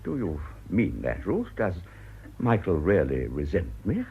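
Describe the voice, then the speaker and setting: An actor playing an earnest, careworn ageing cathedral canon in a British radio drama, with an English accent and formal, measured clerical diction. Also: male voice, low voice, huge pitch swings, a little hiss or hum, exaggerated voice. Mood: troubled, weary. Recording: muffled old radio broadcast.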